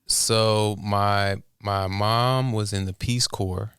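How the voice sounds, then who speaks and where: A man from the United States with an American accent, talking casually and haltingly as an interview guest. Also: fairly low pitch, fairly even intonation, fairly bright tone, clean tone, no echo, clean studio sound. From Portland, Oregon, United States. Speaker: interview guest, music producer and inventor. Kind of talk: casual conversational storytelling.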